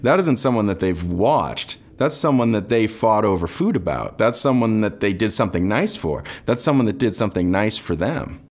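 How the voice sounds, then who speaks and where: A man's voice: lowish voice, animated, normal speed. A man in an interview making points emphatically, repeating phrases for effect. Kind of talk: animated interview talk. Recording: clear background noise.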